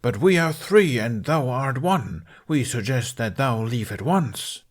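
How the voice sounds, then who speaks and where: A hostile knight issues stern challenges as a male narrator puts him on. The voice is gruff and stagey, lifted above a normal speaking pitch for the storybook play. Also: lively intonation, measured pace. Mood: menacing.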